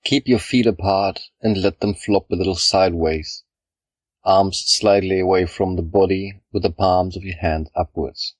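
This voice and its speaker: A man leading a scripted guided meditation, speaking in a calm, measured, instructional way with pauses between phrases. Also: sits low, no room sound. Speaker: yoga nidra guide.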